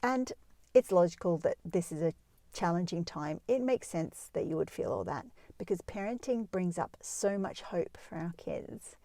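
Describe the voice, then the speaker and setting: Female advice host, relaxed mellow tone in a dry space, talking listeners through things reassuringly. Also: lowish voice, moderate pace, no room sound. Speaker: host of a parenting advice show. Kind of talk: explanatory advice talk addressed to listeners.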